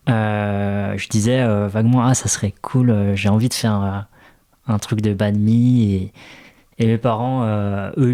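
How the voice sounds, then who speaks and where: A French man with a fairly low voice talks through his story in a relaxed interview. He pauses often, filling gaps with hesitant sounds as he thinks out loud. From France. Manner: hesitant, many "euh" fillers, thoughtful. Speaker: interview guest, restaurant co-founder. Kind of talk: casual interview talk.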